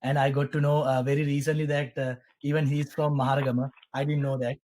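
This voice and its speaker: A man from Sri Lanka in his thirties, chatting as a guest on a call, with many pauses and fillers. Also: mid-range voice, little pitch movement, a little mellow. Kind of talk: casual chat.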